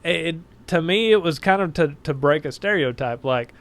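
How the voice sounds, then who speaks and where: A man's voice: on the high side, expressive, moderate pace. A man with a Southern US accent, a car salesman guest telling stories in a casual podcast chat, restarting his sentences often. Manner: friendly storytelling with many restarts. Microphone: home podcast mic, moves off-mic.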